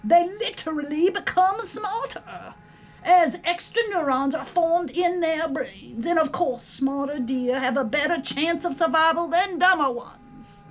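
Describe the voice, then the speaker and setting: A woman reading a storybook aloud in an expressive, theatrical, emphatic narration. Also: very high-pitched. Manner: theatrical, emphatic, deliberate phrasing. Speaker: storybook reader. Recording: faint background noise.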